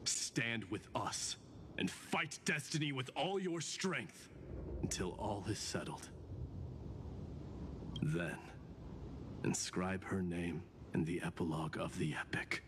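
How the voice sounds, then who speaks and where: An actor playing a grave, battle-worn god of strife in a video game, speaking in deliberate declamation. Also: male voice, low-pitched, background noise. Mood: proud, resolute. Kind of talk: scripted video game drama.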